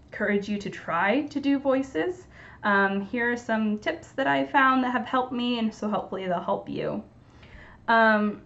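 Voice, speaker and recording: A young woman talks through her storytime advice in a casual, earnest way, encouraging her listeners. Her voice sits at a middle pitch. The recording sounds dull and lo-fi. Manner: earnest, encouraging, plain-spoken. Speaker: storytime presenter. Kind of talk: casual instructional talk to camera.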